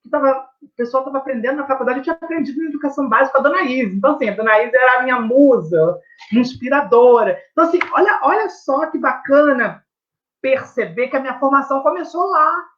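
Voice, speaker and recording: A man's voice: very high-pitched, fast, muffled recording. A man with a Brazilian accent, a teacher explaining his research in conversation, reflective and emphatic, often adding tag questions.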